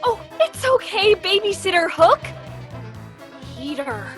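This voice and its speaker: A woman's voice: very high-pitched, sing-song, slow, a bit bright, soft background music, exaggerated voice. A woman voicing a bossy girl who scolds her friend. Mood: scolding. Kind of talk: amateur radio play acting.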